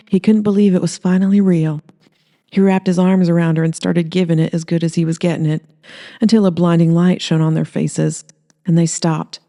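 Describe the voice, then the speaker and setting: A woman's voice: fairly low pitch, dark tone. A woman reading scripted fiction aloud as a storyteller. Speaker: author reading aloud, host.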